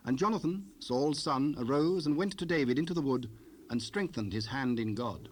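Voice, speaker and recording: A man narrating a dramatized scripture recording in a formal, measured storytelling manner. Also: mid-range voice, expressive, a little hiss or hum, natural voice.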